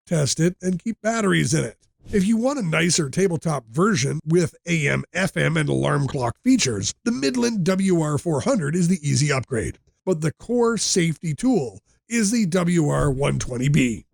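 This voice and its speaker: A man's voice: on the high side, vocal fry, no room sound, studio quality. A man presenting a scripted product review, speaking in short, direct, instructive sentences.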